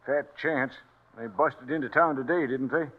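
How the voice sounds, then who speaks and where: A man's voice: medium pitch, normal speed, lightly acted. An American actor playing a stubborn, irascible old man in a radio western, with folksy, cantankerous frontier talk. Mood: indignant. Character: feisty wounded old-timer, stubborn and irascible. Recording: muffled old radio broadcast recording.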